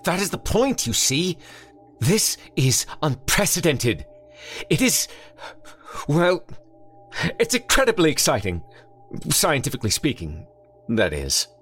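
A man voicing a fussy, excitable male scientist doctor who stammers when cornered. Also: mid-range voice, normal speed, soft background music, pitched above the performer's own voice. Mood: excited.

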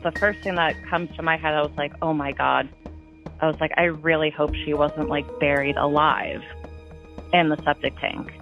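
Very low-voiced woman in a muffled clip. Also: steady tone, moderate pace, soft background music. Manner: fills speech with "like". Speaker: interviewee in a played clip. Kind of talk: casual recollection.

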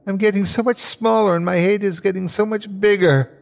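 A man voicing an anguished dying man in a horror story, his voice strained and halting as he speaks in short bursts. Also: medium pitch, animated, vocal fry, darkish tone. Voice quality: strained, sometimes whiny or growling. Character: dying man, frightened, awed, turning bitter and hateful. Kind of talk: dramatic horror story reading.

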